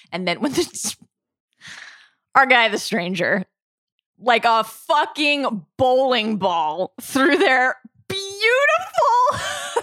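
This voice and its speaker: Excitable woman podcast co-host, dry sound. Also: no echo. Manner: excitable, laughing, jumps up in pitch. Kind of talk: casual podcast chat.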